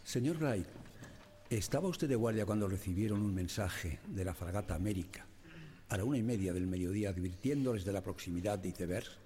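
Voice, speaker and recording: An actor playing a formal, insistent examiner at an official inquiry in a radio drama, with a husky voice. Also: male voice, on the low side, a little fast, dark tone, slight background noise, lightly acted. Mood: stern, probing. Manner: formal, probing, insistent questioning. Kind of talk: radio drama courtroom questioning.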